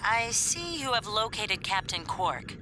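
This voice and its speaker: Game officer: poised, proud captain, mid-pitched, crisp and courteous, loud noise behind. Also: female voice, big pitch swings, measured pace. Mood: businesslike. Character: poised starship captain woman, confident and courteous.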